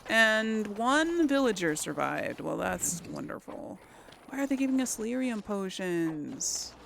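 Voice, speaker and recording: A woman's voice: mid-range voice, very animated, a little slow, vocal fry, fairly bright tone, slight background noise. A woman giving casual, chatty game commentary, talking to herself and to the characters as she plays. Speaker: let's play host. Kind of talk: casual live gameplay commentary. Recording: game music under the voice. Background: video game music.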